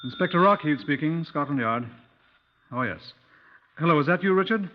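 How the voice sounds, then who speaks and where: An actor playing a brisk, dry-humoured British police inspector in a 1950s radio drama, clipped and courteous in manner. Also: male voice, a little high, lively intonation, noisy background, close to an everyday voice. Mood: brisk, businesslike. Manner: clipped, courteous, dryly probing. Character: brisk, dry-humoured Scotland Yard police inspector narrating his case. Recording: dull 1950s radio recording.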